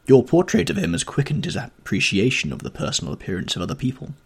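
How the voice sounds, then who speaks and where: A man voicing a languid, witty aristocratic gentleman, with a drawling manner, in a storybook reading. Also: medium pitch, huge pitch swings, quick pace, vocal fry, husky, voice pushed up, full character voice. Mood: amused.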